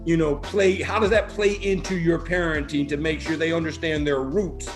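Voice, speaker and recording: Male podcast host, middle-aged. Somewhat higher-pitched, casual, affirming interviewer who builds questions slowly, with faint music. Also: fairly even intonation.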